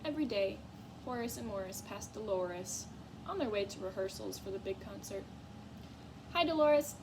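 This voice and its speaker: A woman reading a children's story aloud in an expressive narrating style. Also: mid-range voice, moderate pace. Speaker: storybook reader. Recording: clear background noise.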